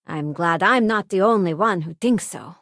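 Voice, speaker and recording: A woman's voice: fairly low pitch, normal speed, a bit bright, no room sound, clean studio sound. Earnest, idealistic spacefaring ex-deputy woman. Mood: earnest, reflective. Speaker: video game companion character. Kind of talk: acted video game voice lines.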